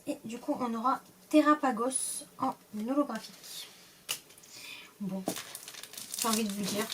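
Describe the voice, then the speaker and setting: A woman's voice: a little high, far from the mic, a little hiss or hum. A woman with a cold, casually opening card packs and reading the card names aloud, often hesitating and trailing off. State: stuffy from a cold. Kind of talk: casual pack-opening commentary.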